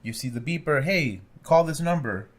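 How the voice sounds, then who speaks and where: A man's voice: on the high side, moderate pace. A man of about thirty, co-hosting a podcast, teasing and chatting casually. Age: 30s. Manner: teasing, animated. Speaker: podcast co-host. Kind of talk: casual chat.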